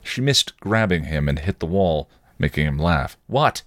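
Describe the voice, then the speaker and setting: A man's voice: extremely low pitch, normal speed, fairly bright tone, no room sound, studio quality. A man hosting a podcast, narrating fan fiction as a storybook reading.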